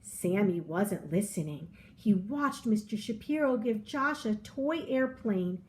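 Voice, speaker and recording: Slow-paced woman reading a children's story. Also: medium pitch. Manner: expressive storyteller delivery with voiced dialogue. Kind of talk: children's picture book read-aloud.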